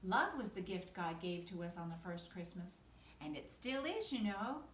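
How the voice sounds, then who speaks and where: A woman's voice: on the low side, expressive, normal speed, a bit bright, roomy sound, recorded from a distance, a little hiss or hum. A woman as a kindly Santa Claus, expressively lilting. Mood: warm, reassuring. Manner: kindly, reassuring storyteller. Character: kindly, gentle old Santa Claus, male.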